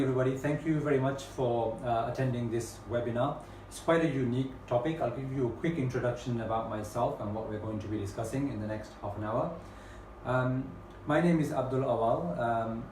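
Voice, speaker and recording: A man with a British accent presents a webinar in a measured, unhurried way, often pausing on "um" and "uh". His voice sits at an ordinary pitch. It sounds echoey, picked up from a distance with faint background noise. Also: darkish tone. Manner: measured, with frequent ums and uhs. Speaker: webinar host. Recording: echoey room, mic at a distance.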